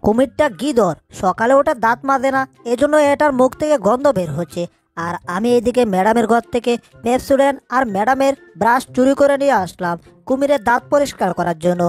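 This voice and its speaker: A high cartoon voice for a small, clever male mouse performed by a woman. He frets aloud and exclaims anxiously as he schemes, with faint music underneath. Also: brisk, a little mellow, lightly acted. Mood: fussy, coaxing. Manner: exclamatory, fretting to himself. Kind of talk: Bengali cartoon dub acting.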